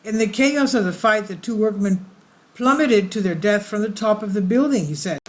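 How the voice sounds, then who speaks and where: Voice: medium pitch, normal speed, slight background noise. A channel host reading a news article aloud with casual asides, sometimes stumbling over words and correcting them.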